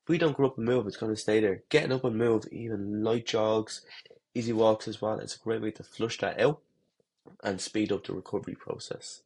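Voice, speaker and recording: A man with a head cold, congested and slightly husky, giving runners a relaxed, rambling talk-to-camera lesson with fillers and restarts. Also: fairly low pitch, a little fast. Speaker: running coach.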